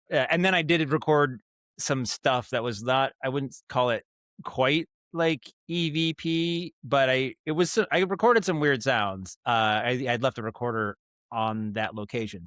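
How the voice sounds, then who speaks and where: An American man speaking as a podcast guest, telling stories in a casual, rambling and animated way. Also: medium pitch, no room sound, clean studio sound. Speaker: podcast guest, audio drama writer and producer. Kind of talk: casual interview storytelling.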